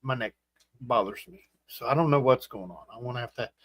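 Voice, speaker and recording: A man in his forties hosting a casual livestream chat, rambling and halting with fillers and restarts. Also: mid-range voice, a bit bright, husky, no echo. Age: 40s. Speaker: host.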